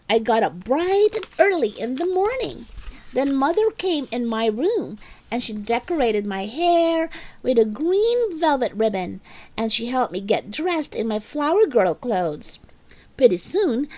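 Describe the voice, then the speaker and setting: Slow, high-pitched woman reading a children's story. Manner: expressive, deliberate storytelling. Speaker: children's book narrator. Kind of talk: animated read-aloud narration for children.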